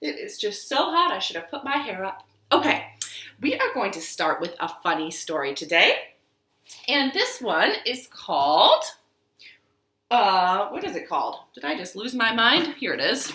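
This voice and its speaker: A woman hosting storytime for young children, speaking in an expressive, encouraging sing-song way in a living room. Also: mid-range voice, normal speed. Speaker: children's storytime librarian host. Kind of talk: children's storytime, talking and reading aloud to young kids. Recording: roomy home living room echo.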